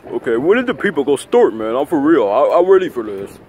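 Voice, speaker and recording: A man improvising a plush toy skit outdoors, talking in a rambling, repetitive, halting way into a handheld phone. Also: mid-range voice, sing-song, a little mellow. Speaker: puppeteer of plush toys. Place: outdoors on grass at night. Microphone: handheld phone camera close by. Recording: noisy outdoor phone video recording.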